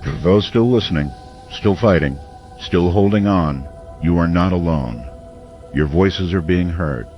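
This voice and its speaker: An actor playing a grave, steadfast male late-night radio host, broadcasting in a solemn, measured, portentous manner in an audio drama. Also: deep voice, dull audio, faint music behind. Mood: resolute.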